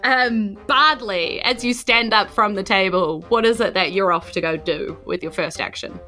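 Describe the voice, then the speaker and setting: A woman's voice: medium pitch, moderate pace, bright, forceful tone, soft background music. A woman acting as game master, casually narrating a tabletop role-playing game.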